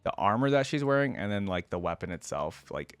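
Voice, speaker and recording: A man's voice: fairly low pitch, sing-song, brisk, a bit bright, smooth, dry recording. A man giving casual reaction commentary as a video host, thinking aloud in informal, slangy language.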